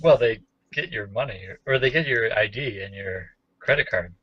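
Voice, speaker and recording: A man's voice: fairly low pitch, moderate pace, husky, no room sound. A man chatting casually and wryly as a podcast co-host, telling anecdotes. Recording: dull, lo-fi captured call audio.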